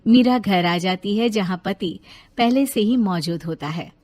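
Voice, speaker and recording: A woman's voice: medium pitch, unhurried, darkish tone. A woman narrating a scripted moral story as a storyteller.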